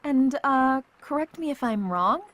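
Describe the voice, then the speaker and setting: A woman acting as a take-charge leader in an audio drama. Her voice is fairly high, brisk and organised, with a dry sardonic edge. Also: lively intonation, fairly bright tone. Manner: organising, dryly sarcastic.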